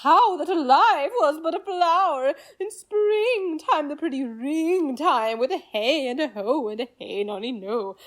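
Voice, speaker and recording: Woman as very high singing page. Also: heavily acted. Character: young page singing a merry love song. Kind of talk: singing an Elizabethan song in a play.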